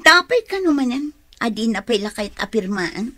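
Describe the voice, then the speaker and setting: An actress playing a scornful, scheming accomplice in a radio drama, disdainful and with a Filipino accent. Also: female voice, a little high, sing-song, normal speed, a bit bright, dry recording.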